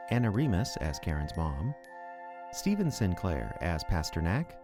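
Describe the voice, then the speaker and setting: A man's voice: low-pitched, very animated, unhurried. A man reading scripted closing credits as an announcer for an audio drama. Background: prominent music.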